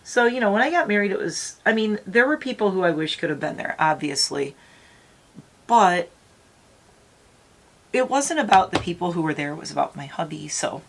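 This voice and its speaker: A middle-aged woman with a slightly raspy morning voice, chatting and rambling to her viewers while doing her makeup. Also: fairly low pitch, sing-song, moderate pace, pauses often, a little hiss or hum. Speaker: beauty vlogger. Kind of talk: casual makeup tutorial chat.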